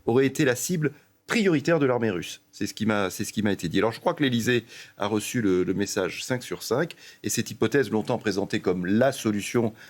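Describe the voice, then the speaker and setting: A man's voice: fairly low pitch, expressive, a little fast. A man with a French accent from France giving explanatory political news analysis as a commentator, emphatic and addressing his listeners directly.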